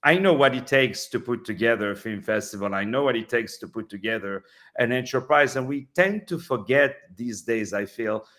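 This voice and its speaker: A middle-aged Frenchman speaks in a relaxed interview, his French accent plain under his English. He is effusive and playful, tossing in jokes and fillers as he talks about his work, in a voice of ordinary pitch. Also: normal speed. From France. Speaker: film director and producer.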